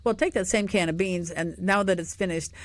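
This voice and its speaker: A middle-aged woman hosting a radio talk show, chatty and animated, telling stories with frequent laughs. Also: fairly low pitch, a little fast, no room sound, clean studio sound. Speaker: radio host.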